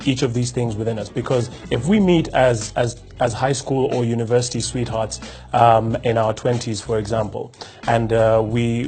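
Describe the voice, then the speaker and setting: A man of middle pitch gives a motivational talk to camera. He speaks reflectively and often restarts his sentences as he turns over rhetorical questions. Faint music plays under his voice. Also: a little mellow. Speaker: host.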